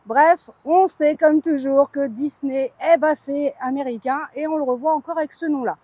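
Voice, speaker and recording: High-voiced woman chatting on a vlog. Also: fairly even intonation, moderate pace, warm tone, no room sound. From France. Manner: playful, candid, informal. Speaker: vlog host. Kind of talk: chatty theme park news vlog.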